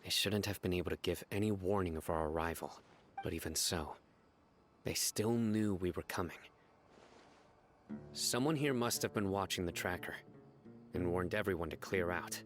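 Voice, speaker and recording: A man playing a stern, vigilant young investigator in a video game, speaking in a serious, measured way. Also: low voice, faint music behind, natural voice. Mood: analytical.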